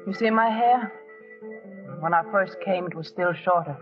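An actress playing a gentle yet spirited young refugee woman with simple, artless phrasing in vintage radio drama style. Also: fairly low pitch, normal speed, natural voice. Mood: soft, candid. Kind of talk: 1940s radio acting. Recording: narrowband vintage radio recording with music.

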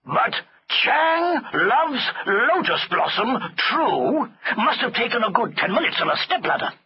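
A man's voice: extremely high pitch, sing-song, measured pace, slightly rough, highs cut off, voice pushed up, exaggerated voice. A man voicing a bad-tempered, blustering male elephant master in a storybook, scolding and roaring with exasperation. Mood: exasperated. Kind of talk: storybook character voice.

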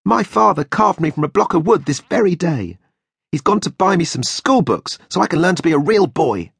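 A man's voice: fairly high pitch, animated, normal speed, gravelly, husky, no room sound, studio quality, voice pushed up, heavily acted. A man voicing a wooden puppet boy who talks in an earnest, childlike way. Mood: eager.

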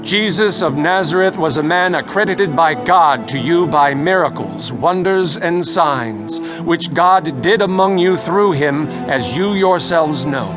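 An actor playing an earnest apostle preaching boldly, a man declaiming deliberately in a dramatized audio Bible. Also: fairly high pitch, animated, poor audio. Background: music.

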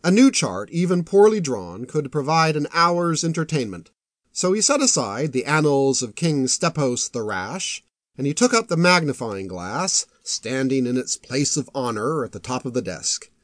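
Male storyteller for epic fantasy audiobook. Measured, literary, highly varied pitch, dry studio-like sound. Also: sing-song, no room sound. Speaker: audiobook narrator.

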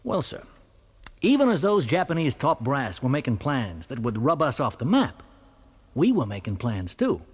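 An actor playing a plain-spoken American war veteran with an American accent, confiding colloquially in an audio drama monologue. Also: male voice, mid-range voice, wildly swooping pitch, moderate pace, breathy edge. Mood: assured.

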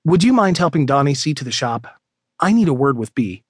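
A man's voice: mid-range voice, very animated, brisk, husky, dry recording, clean studio sound. A man voicing a weary, wry grown man who sounds exasperated and mutters his replies.

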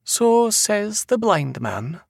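A man's voice: high-pitched, sing-song, very slow, vocal fry, no echo, clean studio sound, voice pushed up, exaggerated voice. A man voicing an eerie female seer with a Scottish accent, making cryptic, certain prophecies in archaic dialect for an audiobook. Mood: knowing, pointed. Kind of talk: dramatic audiobook character voice.

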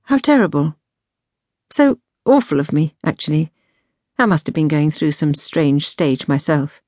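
A woman voicing a softened, sincere eldest sister in an audiobook, gentle and apologetic in manner. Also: low voice, very animated, measured pace, dry recording, studio quality, exaggerated voice. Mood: contrite. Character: softened, remorseful eldest sister, kinder after grief. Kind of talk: audiobook character dialogue.

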